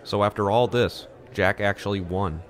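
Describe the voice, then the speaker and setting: A man's voice: on the low side, moderate pace, close to an everyday voice. A man playing an earnest, brooding teenage boy detective in an audio drama, serious, polite and understated. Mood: troubled.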